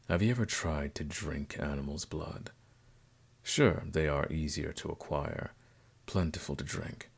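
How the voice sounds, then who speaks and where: A man playing a suave, courteous vampire nobleman in a scripted ASMR roleplay, speaking formally and softly in a gravelly voice. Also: heavily acted. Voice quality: very deep, gravelly. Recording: music playing behind the voice.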